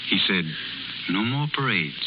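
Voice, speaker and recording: Earnest kind gentleman, low voice that moves around a lot, old radio drama, muffled hiss. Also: sing-song, measured pace. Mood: grave, consoling. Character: kind, earnest gentleman caring for a young woman. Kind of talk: 1930s radio acting. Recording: muffled, crackly 1930s radio recording.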